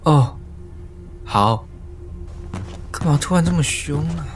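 High-pitched, flustered young man. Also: lively intonation, slow pace, faint music behind. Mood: flustered, sheepish. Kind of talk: modern romance radio drama acting.

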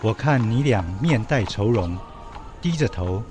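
An actor playing a calm, wise young stranger in a radio drama, speaking in a measured, questioning, instructive way. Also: male voice, medium pitch, over music, close to an everyday voice.

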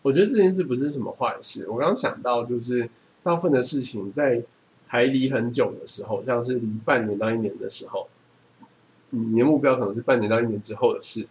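A man's voice: medium pitch, brisk, darkish tone. A man with a Taiwanese accent chatting casually as a podcast host. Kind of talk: casual chat. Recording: clearly noisy background.

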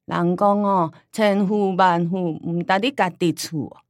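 A woman's voice: lowish voice, steady tone, unhurried, dry recording, clean studio sound. Stubborn old Taiwanese grandmother. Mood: wistful, reminiscing. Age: older. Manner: rambling, folksy storytelling with sighing interjections. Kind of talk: radio drama acting in Taiwanese Hokkien.

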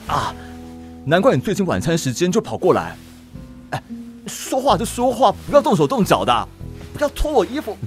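A stubborn middle-aged man grumbles and protests in a lively, swinging voice. Faint music plays under the drama. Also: sits high, moderate pace, pauses often. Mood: huffy. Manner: huffy, flustered, protests loudly. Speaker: stubborn dad. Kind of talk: BL audio drama acting.

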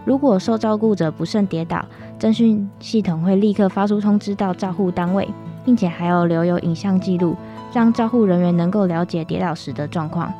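A woman's voice: medium pitch, a little fast, few pauses, warm tone. A woman campus radio reporter with a Taiwanese Mandarin accent, reading a scripted news report. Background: music.

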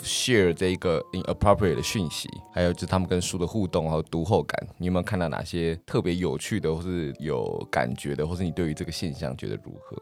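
A man's voice: deep voice, quick pace, hardly any pauses, soft background music. A man with a Taiwanese Mandarin accent, co-hosting a casual chat and putting questions to a guest. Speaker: podcast co-host.

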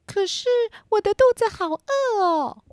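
High-pitched little lost girl, voiced by a woman. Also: animated, unhurried, no room sound, clean studio sound. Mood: plaintive. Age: child. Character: hungry, naive little girl lost in the forest.

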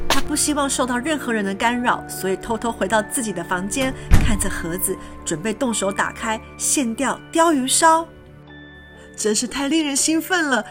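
A woman reading a children's story as narrator in an expressive, scripted storybook style. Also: on the high side. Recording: music behind the voice.

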